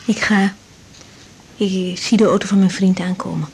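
A woman's voice: mid-range voice, fairly even intonation, normal speed, a little mellow, close to an everyday voice. Actress as a hesitant, subdued young woman doctor. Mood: subdued, shaken. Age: young adult. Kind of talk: 1980s Dutch radio drama acting. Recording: noisy 1980s radio drama recording.

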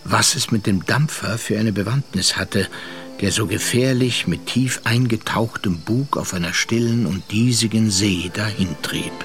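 An actor playing a reflective sea captain, narrating a literary radio drama in a measured way. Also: male voice, lowish voice, a bit bright, faint music behind. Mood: grave, reflective. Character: reflective seafaring captain recounting a past affair.

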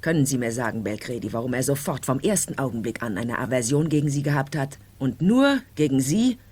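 Eine Schauspielerin mittleren Alters spielt in einem Hörspiel der Siebziger eine stolze, herrische Marchesa, mit tiefer, rauer Stimme und theatralischem Nachdruck. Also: female voice, very deep voice, animated, a little fast, darkish tone, slightly rough, exaggerated voice. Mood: imperious. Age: middle-aged. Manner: theatrical, emphatic, haughty. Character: proud, middle-aged marchesa, insistent and imperious. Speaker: radio drama actor. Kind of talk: 1970s radio drama acting.

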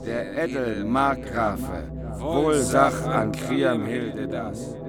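Slow male reciter of old verse. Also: mid-range voice, over loud music. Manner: metrical, deliberate. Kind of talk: chanted recitation of old epic verse in archaic German.

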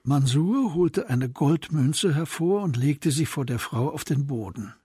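A man narrating a radio play as scripted literary storytelling, in a measured, descriptive manner. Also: a little high, lively intonation, mellow, slightly rough, dry recording. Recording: studio narration over music.

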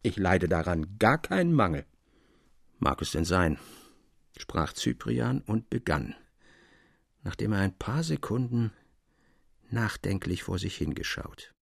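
Gravelly, expressive male narrator. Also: sits low, a little slow, pauses often, no echo, clean studio sound. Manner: expressive, theatrical delivery. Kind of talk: scripted literary narration with acted quotes, radio production.

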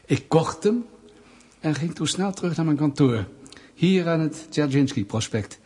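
A husky, fairly high-voiced male secret police interrogator in a radio drama. He is mock-polite and menacing, drawing out his words with pointed pauses and insinuation. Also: animated, a little mellow. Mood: quietly menacing. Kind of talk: radio play acting.

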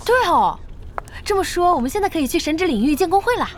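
An actress playing a brisk, bossy young female internet-café owner in an audio drama, direct and chiding. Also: very high voice, very animated, slight background noise. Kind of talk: Chinese audio drama acting.

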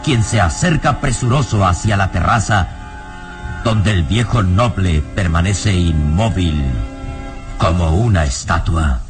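A man's voice: sits low, measured pace, loud music behind the voice. A man narrating a radio serial in a dramatic, scripted style.

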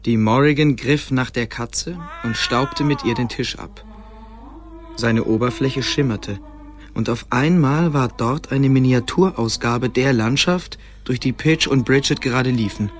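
A man's voice: mid-range voice, a little slow, soft background music, lightly acted. A man narrating a radio play in a scripted storytelling style.

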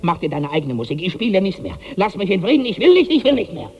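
An actor playing an exasperated hired musician, frantic and declamatory, in 1960s radio drama style. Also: male voice, sits high, sing-song, fast talker, darkish tone, slightly rough, highs cut off, full character voice. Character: exasperated hired male musician, ranting and fed up. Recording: reverberant 1960s radio studio recording.

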